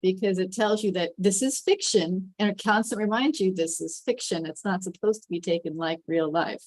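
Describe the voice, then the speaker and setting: A woman's voice: medium pitch, normal speed, a little mellow, dry recording, poor audio. A woman explaining her take conversationally and with animation in a group discussion.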